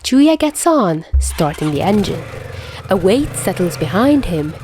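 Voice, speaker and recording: A woman reading fan fiction aloud as an amateur narrator, in an expressive, dramatic storytelling style. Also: medium pitch, measured pace, slight background noise.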